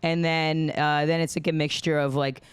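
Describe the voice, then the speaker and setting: A woman's voice: sits low, little pitch movement, normal speed, smooth, dry recording. A hungover American woman hosting a casual podcast, joking and swearing freely as she introduces guests and talks about her family. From United States. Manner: jokey, blunt, swears freely. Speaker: podcast host. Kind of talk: casual chat.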